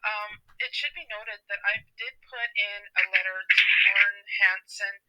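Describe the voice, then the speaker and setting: Sharp, insistent woman on phone. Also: mid-range voice, normal speed. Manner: insistent, argumentative. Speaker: caller, parent filing a complaint. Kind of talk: phone conversation. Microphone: telephone. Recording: phone call audio.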